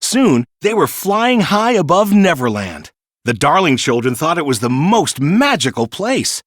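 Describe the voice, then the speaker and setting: A man reading a children's storybook in an expressive, clearly paced storytelling manner. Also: fairly high pitch, a little slow, dry recording, clean studio sound. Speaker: narrator. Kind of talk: scripted children's storybook narration.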